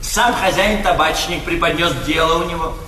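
A self-important clerk and fixer in a stage comedy. His voice is high for a man, chatty and affected, echoing around a theatre hall with clear background noise. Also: moderate pace, sharp tone. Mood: chatty, smug. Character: breezy, self-important government clerk and fixer, a fashionable snob. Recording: reverberant stage hall, noisy old recording.

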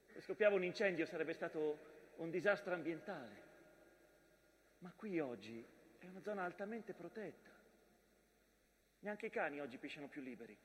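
Brief: male actor as weary, battle-scarred landscape telling of trenches, stage monologue, higher voice, long hall reverb. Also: lively intonation, moderate pace, long pauses, a little hiss or hum, natural voice. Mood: wry. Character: the war-scarred land itself, weary narrator of trenches. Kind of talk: theatrical monologue.

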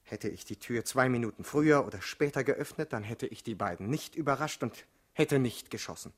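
An actor playing a troubled, doubting male political assassin in a German radio play, with a husky voice and a brooding, earnest delivery. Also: medium pitch, expressive, brisk, lightly acted. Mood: defensive, agitated.

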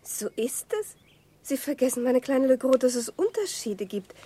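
Snooty flirty society lady in a radio drama, kinda high and breathy, affected, condescending. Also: expressive, normal speed, dark tone, no room sound. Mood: taunting. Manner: affected, coquettish, patronising. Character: frivolous, condescending society lady, playfully cruel. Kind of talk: classic German radio drama acting.

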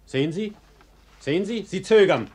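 An actor in a German radio play playing a polite, coolly menacing arsonist who is deliberate and mockingly earnest. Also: male voice, sits high, expressive, darkish tone, breathy edge. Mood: insistent.

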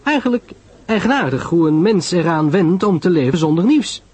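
A surly, blunt British commander acted by a man in an audio drama. His husky voice is pushed up in pitch, with forceful, clipped delivery and strong swings in tone. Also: expressive, moderate pace. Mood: stiffly hearty. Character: surly, jealous British naval commander, awkwardly hearty in company.

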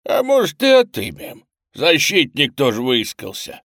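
Male reader as squat young thug, menacing, taunting, rough street talk, animated pitch, audiobook villain. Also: measured pace, dry recording, studio quality, heavily acted. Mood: threatening. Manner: taunting, uneducated street speech. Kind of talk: audiobook character acting.

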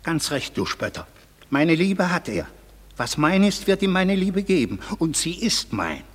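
An actor playing a stern, aggrieved father in a classical verse radio drama, declaiming indignantly with a husky voice. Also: a little high, very animated, unhurried. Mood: scornful. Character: stern, aggrieved father demanding his paternal rights. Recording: hall-like reverb.

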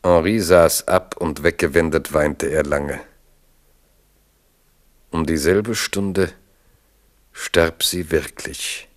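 Deep, slow male radio drama narrator. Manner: grave, deliberate, pausing between short phrases. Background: music.

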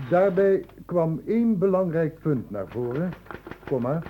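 An actor playing a curt, pedantic senior doctor in a radio drama. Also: male voice, fairly high pitch. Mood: measured, dictating. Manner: pedantic, deliberate when dictating, curt in questioning. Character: brisk, impatient senior male doctor dictating a report. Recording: dull, noisy old radio play tape.

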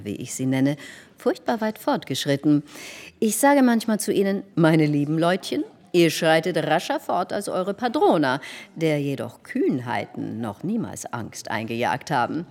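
A woman's voice: deep voice, darkish tone, full character voice. An actress in a literary radio drama playing a vain, domineering middle-aged society hostess, pompous and emphatic in her delivery. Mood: grand, boastful. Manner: pompous, emphatic, swooping declamation. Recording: long reverberant hall, music behind.

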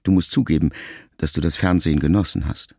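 A middle-aged man reading a literary memoir aloud in a measured, reflective first-person voice. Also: rumbling low, vocal fry, noisy background. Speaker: audiobook narrator. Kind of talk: scripted literary narration.

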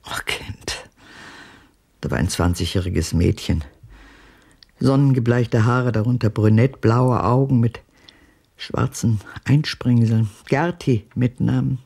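An actress playing a world-weary, evasive woman in her eighties in a radio drama, halting and brooding, with a husky voice that sinks toward whispers. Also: a bass voice, dark tone, full character voice. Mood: brooding, curt. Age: 80s. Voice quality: husky, breathy, dropping to whispers.

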